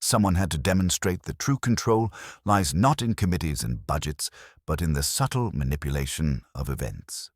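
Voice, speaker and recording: Man as deep-voiced, vain theatre director. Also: lively intonation, moderate pace, slightly rough, no echo, studio quality, full character voice. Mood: strained, resentful. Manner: theatrical, protesting. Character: vain theatrical male director, cornered yet self-justifying.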